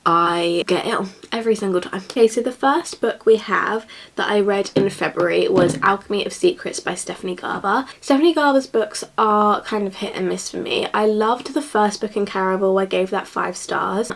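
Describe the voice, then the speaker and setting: A woman's voice: medium pitch, creaky. A young woman with a blocked nose from a cold, rambling in a chatty way as she reviews books she has read. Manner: rambling, chatty, lots of "like" and "kind of". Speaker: book reviewer. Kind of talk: casual chatty vlog.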